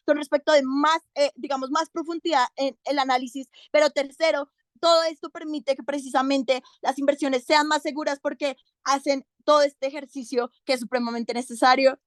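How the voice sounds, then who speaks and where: A young woman argues her side in a debate, her voice sitting high and bright. She drives hard through a list of numbered points. The sound is close and dry, with almost no room around it. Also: no room sound. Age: young adult. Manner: emphatic, counts points off one by one. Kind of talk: competitive debate speech.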